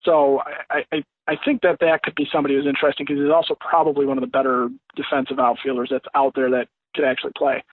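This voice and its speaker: A man's voice: medium pitch, little pitch movement, quick pace, hardly any pauses, dry recording. Man talking sports on a dull lo-fi connection. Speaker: podcast guest, baseball pundit. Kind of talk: casual sports analysis.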